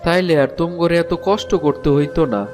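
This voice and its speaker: Man voicing a plaintive, high-pitched sickly village father. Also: fairly quick, darkish tone, faint music behind, natural voice. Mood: self-pitying. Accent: rural eastern Bengali. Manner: plaintive, fretful. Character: sorrowful, sickly village father, doting and worried. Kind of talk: Bengali animated fairy-tale voice acting.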